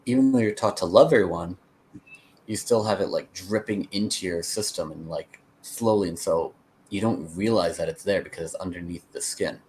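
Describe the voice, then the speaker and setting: Male, American, low register. Interview guest who explains and meanders as he tells his story. Slight background noise. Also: normal speed, a little mellow. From United States (Connecticut). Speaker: interview guest, peace activist. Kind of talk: conversational storytelling.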